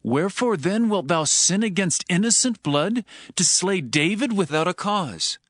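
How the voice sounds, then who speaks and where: A loyal young prince in a biblical drama. He speaks earnestly and with measure, in a slightly high voice recorded very dry. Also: animated, a little slow, fairly bright tone, dry recording, exaggerated voice. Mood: earnest pleading. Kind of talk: dramatized scripture acting.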